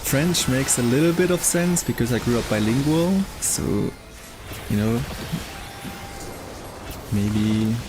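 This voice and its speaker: A young man from Germany with a German accent, streaming a game and chatting casually with viewers, musing out loud. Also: mid-range voice, slow pace, long pauses. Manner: musing, thinking aloud. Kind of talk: casual chat with viewers while gaming. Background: game music.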